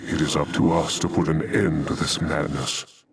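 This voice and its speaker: A man's voice: very deep voice, little pitch movement, normal speed, vocal fry, recorded from a distance, slight background noise. A man voicing a noble alien warrior leader in a video game, solemn and heroic, with grand formal speech. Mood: solemn, resolute. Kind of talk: theatrical video game acting.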